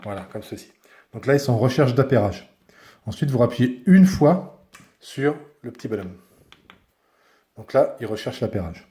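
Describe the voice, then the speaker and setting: A man's voice: mid-range voice, lively intonation, normal speed, long pauses, mellow. A man giving a casual hands-on product review, speaking informally and often searching for his words.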